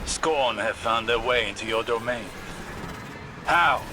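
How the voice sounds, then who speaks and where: An actor playing a stoic, weary but resolute scholar-warrior in a video game, with formal, deliberate, earnest delivery. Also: male voice, medium pitch, background noise, lightly acted. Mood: determined. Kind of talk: dramatic game dialogue. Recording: loud music behind the voice.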